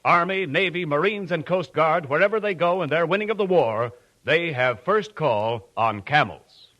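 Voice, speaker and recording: A man's voice: on the high side, animated, moderate pace, a bit bright, muffled recording. A man announcing in scripted 1940s radio advertising style, with earnest, emphatic salesmanship. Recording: old 1940s radio broadcast.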